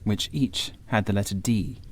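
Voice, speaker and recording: A man reading a scripted first-person gothic horror story in a measured, literary manner. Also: fairly low pitch, slight background noise. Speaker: audiobook narrator.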